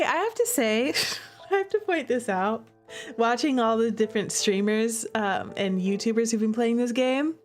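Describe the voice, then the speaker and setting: A woman streamer chatting casually and playfully through commentary on her own game, stressing her points with little tag questions. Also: on the high side. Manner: playful, giggly, emphatic; repeats "okay?" and "all right?" as tags. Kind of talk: casual livestream commentary and chat. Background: background music.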